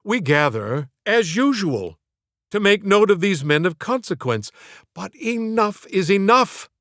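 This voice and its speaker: Man voicing an indignant, high-pitched young woman orator. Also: very animated, a little slow, fairly bright tone, no room sound, studio quality, full character voice. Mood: mock indignation. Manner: declamatory, florid, indignant. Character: exasperated, defiant young woman making a grand mock-formal speech.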